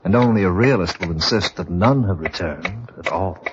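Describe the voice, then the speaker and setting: An actor as a solemn, mysterious storyteller, narrating a scripted old-time radio drama. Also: male voice, sits low, normal speed, natural voice. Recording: noisy dull old radio recording.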